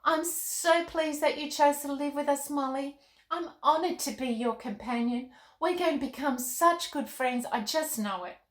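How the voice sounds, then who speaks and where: A woman's voice: high voice, moderate pace, voice pushed up, lightly acted. A woman voicing a sweet, sincere, friendly girl companion in a storybook read-aloud. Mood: pleased, friendly. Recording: roomy, echoey room.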